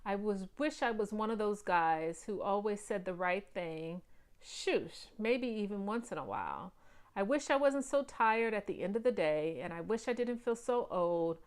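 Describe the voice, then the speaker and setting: A woman reading the lines of a tired, angry father who works two jobs, loud and ranting. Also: mid-range voice, animated, moderate pace, smooth, lightly acted. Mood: tender. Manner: ranting, then soft and trembling.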